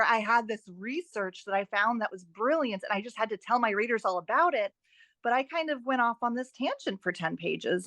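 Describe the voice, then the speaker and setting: A woman's voice: medium pitch, a bit bright, no echo. A woman with a mid-range voice talks as a guest expert in an interview. She explains her advice conversationally, stressing points and posing rhetorical questions. The sound is close and dry. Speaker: guest editing expert and author.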